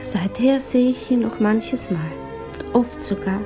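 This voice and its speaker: An actress playing a grieving, lonely woman who recounts her sorrow in a solemn, hushed 1950s radio drama monologue. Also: fairly high pitch, slow, music in the background. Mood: subdued sorrow. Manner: solemn, hushed, reflective, often sinking toward a whisper.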